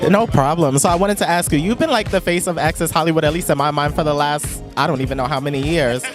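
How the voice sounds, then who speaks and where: A man's voice: on the high side, fast, music in the background. A man working as a red carpet correspondent, interviewing guests with upbeat, effusive introductions.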